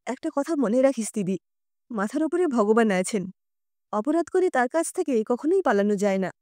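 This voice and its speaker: Casting: female narrator as elderly storybook grandmother, affectionate yet plain-spoken, high and mellow. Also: expressive, moderate pace, dry recording, clean studio sound, close to an everyday voice. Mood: firm, wise. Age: older. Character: kindly, firm old grandmother, wise and teasing.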